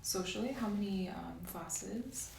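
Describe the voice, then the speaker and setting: A soft-spoken woman playing a doctor in an ASMR medical roleplay, gently asking questions and giving step-by-step exam instructions. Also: mid-range voice, unhurried. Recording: roomy echo, clear background hiss.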